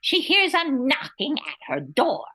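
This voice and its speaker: A woman's voice: high voice, wildly swooping pitch, unhurried, sharp tone, exaggerated voice. A woman playing a formal, easily exasperated announcer and narrator in an old-time radio show. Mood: storytelling.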